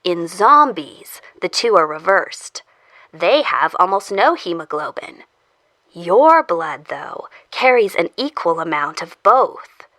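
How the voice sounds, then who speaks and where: A woman's voice: lowish voice, big pitch swings, slow, sharp tone, no room sound, full character voice. A woman playing a polite scientist who explains things clinically in an audio drama. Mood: clinical, explanatory. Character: polite female scientist researching a zombie immunity.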